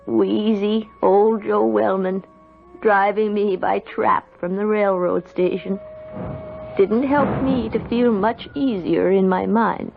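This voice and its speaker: An aged storyteller in her nineties, narrating a radio play. She is unhurried and brooding, with a creaky voice. Music runs with the muffled sound. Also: mid-range voice, fairly even intonation. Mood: brooding, eerie. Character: woman in her nineties recalling a haunting youth. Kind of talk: radio drama first-person narration. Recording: dull lo-fi radio broadcast.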